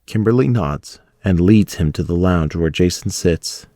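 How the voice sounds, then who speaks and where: A man's voice: deep voice, a little slow, dark tone, dry recording, studio quality. A man narrating a scripted audio drama as its storyteller.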